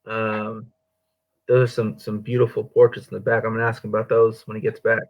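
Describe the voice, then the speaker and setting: Fast-talking outspoken male host. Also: on the low side, completely flat delivery, dull audio. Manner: outspoken, emphatic. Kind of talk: casual interview.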